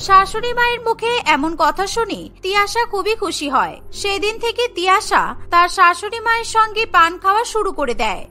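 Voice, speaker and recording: A woman's voice: very high-pitched, sharp tone. A woman reading scripted narration for a cartoon story.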